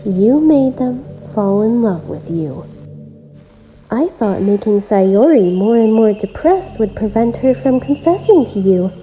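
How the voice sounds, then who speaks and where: A woman voicing a lonely, obsessive, self-aware visual novel game girl who speaks gently and intimately, straight to the listener, in an amateur voice-acted monologue. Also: fairly high pitch, animated, soft background music, low-quality recording. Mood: bitter, pleading. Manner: gentle, deliberate, intimate direct address.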